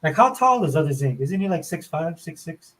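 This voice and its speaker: A man's voice: fairly high pitch, very animated, fairly quick, fairly bright tone, low-quality recording. A man with a somewhat whiny voice chatting casually on a podcast, loose and informal, saying like and dude often. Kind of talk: casual chat.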